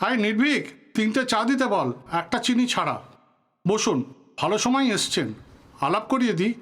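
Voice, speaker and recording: An actor playing a touchy, authoritative veteran politician in an audio drama, formal and commanding in manner. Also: male voice, high voice, lively intonation, moderate pace, fairly bright tone. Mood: genial, commanding. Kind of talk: Bengali audio drama acting.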